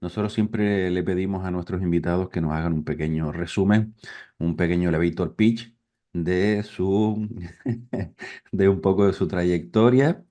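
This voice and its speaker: A man's voice: sits low, unhurried, warm tone. A man hosting an interview program, enthusiastic, filling the talk with affirmations and tag questions. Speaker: program host.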